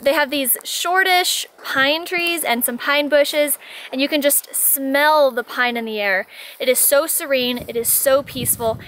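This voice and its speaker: A woman's voice: sits high, pushed and bright, slight background noise, poor audio. A woman narrating a casual travel vlog outdoors, talking with gushing enthusiasm. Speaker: RV travel vlogger. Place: outdoors on a ranch.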